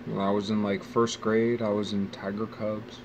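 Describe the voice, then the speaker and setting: A man's voice: fairly low pitch, little pitch movement, moderate pace, background noise. A man in his forties rambling casually about his life in a wry, profane, musing way, speaking outdoors. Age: 40s. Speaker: vlogger. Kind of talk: rambling personal monologue. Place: outdoors near a bench.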